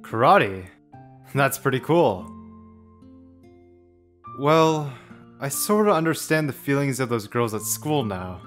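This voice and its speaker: A nonchalant, blunt teenage boy in a manga dub, acted by a man. His voice sits mid-range and drawls slowly over faint music. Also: mid-range voice, big pitch swings, a bit bright. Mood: casual. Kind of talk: manga fandub acting.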